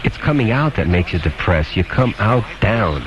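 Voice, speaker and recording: A low-pitched man hosts a phone-in radio show. He probes bluntly and hands out opinionated advice. The sound is dull and lo-fi, with clear noise over it, like an old AM aircheck. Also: big pitch swings, normal speed. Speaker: radio call-in show host. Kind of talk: probing phone-in advice conversation. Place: radio studio.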